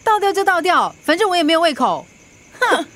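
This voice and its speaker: A woman playing a flustered, hot-tempered middle-aged mother in a radio drama, defensive and quick to flare up. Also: extremely high pitch, very animated, fairly bright tone, exaggerated voice. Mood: defensive, angry.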